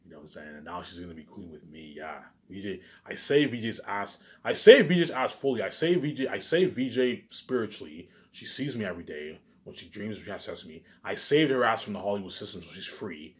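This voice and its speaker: A man's voice: fairly low pitch, animated, fairly quick, few pauses. A man giving a rambling, informal monologue, repeating himself emphatically, swearing and restarting his phrases. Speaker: vlogger.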